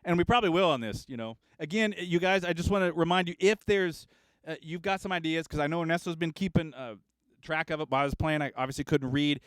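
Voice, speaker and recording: A man chats casually as host of a studio livestream, rambling easily from one thought to the next. His voice is smooth and clear, recorded dry and close with almost no room sound. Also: fairly high pitch, quick pace, dry recording. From Kansas. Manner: relaxed, rambling, chatty.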